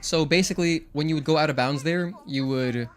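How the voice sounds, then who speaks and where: A man's voice: a little high, fairly quick. A young man whose first language is Spanish, chatting casually to viewers while he plays a game. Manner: chatty, thinks out loud, counts combo hits. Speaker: streamer. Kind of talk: casual gameplay commentary.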